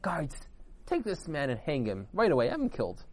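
A man acts out an angry king from a children's tale. He is imperious and incredulous, his pitch swinging widely as he commands. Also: huge pitch swings, a little fast. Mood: commanding. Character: sick king, male, outraged and commanding.

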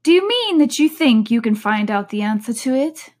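A woman voicing a prickly, argumentative male march hare with a loud, pert delivery. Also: on the high side, big pitch swings, moderate pace, pitched above the performer's own voice. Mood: rude.

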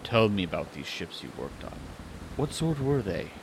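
A man acts out a proud ship's captain in an audio adventure. He is intense and commanding, with direct questions in a lowish voice, over clear noise. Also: very animated, moderate pace. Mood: commanding, intent.

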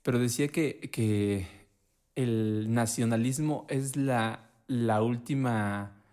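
A man with a Mexican accent chatting casually as a podcast co-host, swearing often and acting out quotes in an animated way. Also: medium pitch, slow. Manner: slangy, profane and animated, acts out quotes. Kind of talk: casual conversation.

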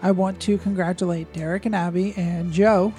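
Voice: medium pitch, steady tone, moderate pace, mellow, soft background music. A podcast co-host chatting casually, laid-back and matter-of-fact. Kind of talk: casual chat.

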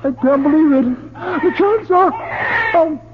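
A man's voice: extremely high pitch, slow pace, raised voice. An actor playing a middle-aged, anxious and protective husband and father in a 1970s radio drama. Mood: horrified. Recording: dull, lightly noisy old radio recording.

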